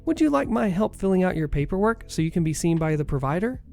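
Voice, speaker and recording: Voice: mid-range voice, very animated, quick pace, creaky, darkish tone, voice pushed up. A storyteller voicing a polite female clinic receptionist who stays courteous and apologetic.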